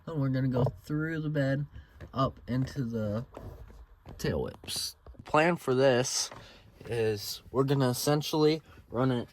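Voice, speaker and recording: A man's voice: mid-range voice, slow. A man giving a casual, plain-spoken how-to walkthrough in an informal style. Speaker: DIY presenter. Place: in and around a pickup truck. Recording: clear background noise and handling clicks.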